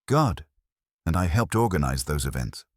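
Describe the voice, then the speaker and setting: A man's voice: very deep voice, big pitch swings, normal speed, no echo, clean studio sound, heavily acted. A man voicing an earnest woman confessing in a detective audiobook, burdened with guilt. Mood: remorseful.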